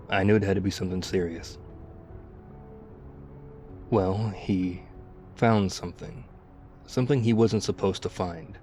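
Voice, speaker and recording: Mellow, low-voiced war-weary male survivor acted by a man. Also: lightly acted. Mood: grave, worried. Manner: confiding, reflective, halting. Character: battle-worn male ex-soldier survivor of zombie apocalypse, wistful, confiding. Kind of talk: intimate ASMR roleplay acting.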